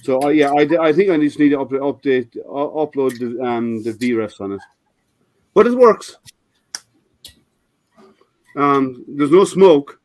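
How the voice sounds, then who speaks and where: A man's voice: medium pitch, unhurried, pauses often, darkish tone, no room sound. An older man hosting a casual live stream, his voice a little scratchy, explaining his printer with many restarts and fillers. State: slightly scratchy voice.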